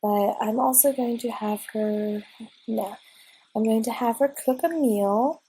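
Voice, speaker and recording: A woman's voice: fairly high pitch, a little slow, dark tone, husky, rough recording. A young woman getting over a cold, sniffly, rambling casually with many ums as she narrates her gameplay at home. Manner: rambling, chatty, frequent ums and restarts. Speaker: let's play gamer. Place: at home at a desk.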